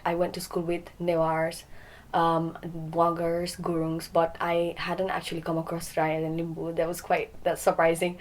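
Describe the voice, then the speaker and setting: Young adult woman from Nepal, low-pitched, relaxed and hesitant interview talk. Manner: hesitant, many ums, mixes Nepali phrases. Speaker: podcast guest.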